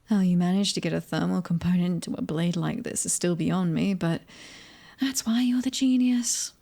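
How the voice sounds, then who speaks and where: A British woman voicing a cocky, fiery mercenary in an ASMR roleplay, teasing and breathy, often dropping close to a whisper. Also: lowish voice, normal speed, dark tone. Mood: smug. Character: fiery, cocky young female mercenary, prickly and taunting. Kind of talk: ASMR audio roleplay acting.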